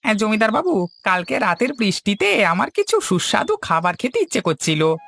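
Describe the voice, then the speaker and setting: A man's voice: high voice, big pitch swings, moderate pace, vocal fry, sharp tone, exaggerated voice. A man voicing a cheeky, sly, wheedling sidekick to a village landlord in a cartoon, hesitant and fawning. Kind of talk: cartoon dub. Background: music.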